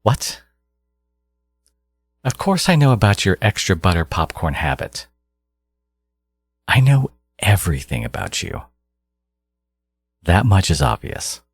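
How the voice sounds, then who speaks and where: A man playing a menacing, condescending genius supervillain in an audio roleplay, exasperated and obsessive yet caring, with a low, gravelly, husky voice. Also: big pitch swings, a little slow, pauses often, no room sound, heavily acted. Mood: smug, obsessive. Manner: condescending, menacing, theatrical, slipping into whispers. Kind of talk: dramatic second-person audio roleplay monologue.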